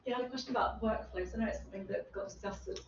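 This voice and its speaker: A woman's voice: mid-range voice, racing. A woman in a seminar audience asking an informal question. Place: seminar room. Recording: distant, echoey, muffled, noisy room recording.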